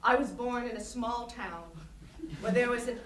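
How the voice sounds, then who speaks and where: A woman's voice: on the high side, normal speed, natural voice. A woman acting an intense, exasperated Chinese intellectual in a staged play reading, lecturing emphatically and with condescension. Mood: pointed lecturing. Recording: roomy distant stage recording, faint noise.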